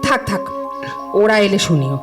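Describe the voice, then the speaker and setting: A woman's voice: mid-range voice, lively intonation, measured pace, natural voice. A woman playing a proud, long-married middle-aged wife with a Bengali accent, nagging and reproachful in an audio drama. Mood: exasperated. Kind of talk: audio drama acting, domestic comedy. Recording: music under the voice.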